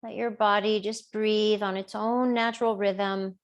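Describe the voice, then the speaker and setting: Female meditation teacher, mid-range voice, very slow and soothing, leaving long pauses between gentle instructions. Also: mid-range voice, little pitch movement. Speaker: yoga and body awareness teacher. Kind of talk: guided body scan meditation.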